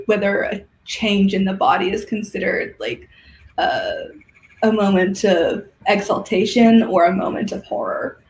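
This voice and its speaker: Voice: mid-range voice, slight background noise. Echoey, thoughtful guest in casual chat. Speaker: guest, organizer of a fiction podcast and symposium. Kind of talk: casual conversational interview. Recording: roomy, echoey sound.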